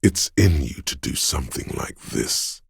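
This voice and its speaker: An actor voicing a menacing inner voice of fear and violent aggression in a video game, brooding and theatrical, speaking in the second person. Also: male voice, expressive, dry recording, clean studio sound, full character voice. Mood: ominous. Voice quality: very deep, gravelly, husky. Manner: brooding, theatrical, deliberate delivery with ominous weight.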